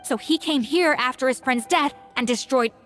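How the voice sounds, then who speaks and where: An actress playing a sharp, dramatic young game heroine, with an earnest and crisp manner. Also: female voice, sits high, moderate pace, close to an everyday voice. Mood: realizing. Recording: music behind.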